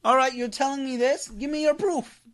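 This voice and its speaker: A man's voice: extremely high pitch, steady tone, moderate pace, fairly bright tone. A man gives an impassioned religious lecture. He speaks as an imam teaching a class, stressing and repeating key phrases and throwing questions out to his listeners.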